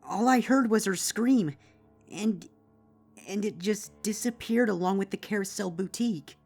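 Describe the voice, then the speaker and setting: A woman voicing a high-voiced young female pony who stammers, panicky and out of breath. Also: measured pace, darkish tone, breathy edge. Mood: shaken. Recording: music behind.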